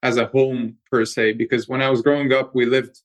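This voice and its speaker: A man talks through his company's story at an ordinary pitch. He explains things fluently and at length, sometimes repeating a word as he restarts. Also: flat delivery, a little fast. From Hungary. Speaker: company co-founder and guest. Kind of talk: conversational interview answers. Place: company office.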